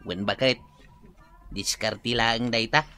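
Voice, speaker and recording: A man's voice: mid-range voice, steady tone, slow pace, sharp tone, clear, resonant tone. A man with a Filipino accent playing an easygoing, eager working husband in a radio comedy drama. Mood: casual, assured.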